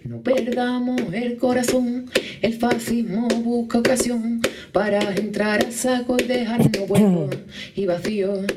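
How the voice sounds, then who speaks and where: A woman's voice: on the high side, fairly even intonation, measured pace, recorded from a distance, very noisy. A woman performing an adapted song, half singing the verses. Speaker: community radio contributor. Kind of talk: sung song parody with spoken-like verses. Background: music.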